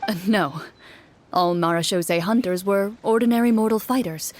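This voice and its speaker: An actress playing a composed, serious female game master in a video game, narrating in a measured, formal and articulate way. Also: mid-range voice, darkish tone, a little hiss or hum, close to an everyday voice. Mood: calm, explanatory.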